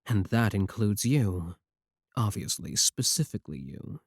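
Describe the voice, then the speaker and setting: A man's voice: on the low side, lively intonation, measured pace, gravelly, husky, dry recording, clean studio sound, exaggerated voice. A man playing a clingy, possessive yandere VTuber, pleading defensively and intimately with a single viewer in an ASMR roleplay. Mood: indignant.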